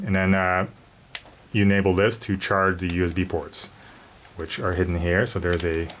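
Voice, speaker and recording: A man's voice: deep voice, fairly bright tone. A man giving a casual, unscripted product review, rambling and repeating words as he goes. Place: garage during a thunderstorm. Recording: noisy garage with storm ambience.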